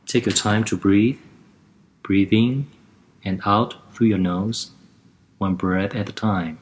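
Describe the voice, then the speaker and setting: A man leads a guided relaxation session in a calm, gentle voice. He talks very slowly and unhurriedly, leaving room between each instruction, with a fairly low voice.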